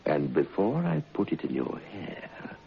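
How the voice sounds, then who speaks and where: An actor playing a cold, menacing betrayed husband in a radio drama, speaking haltingly. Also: male voice, rumbling low, huge pitch swings, vocal fry, full character voice. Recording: muffled vintage radio, faint noise.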